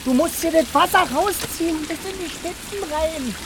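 An actor playing an excitable, bossy village man in a radio drama, with a slangy way of talking. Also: extremely high pitch, moderate pace, noisy background. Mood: coaxing, agitated. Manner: slangy, colloquial, exclamatory, swearing. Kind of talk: naturalistic radio drama dialogue.

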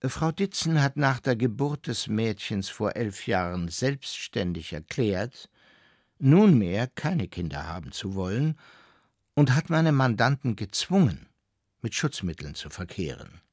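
A stiff, formal divorce lawyer reading a legal letter aloud, in a radio play. His delivery rises and falls with lively pitch, and the sound is dry and close. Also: unhurried, dry recording.

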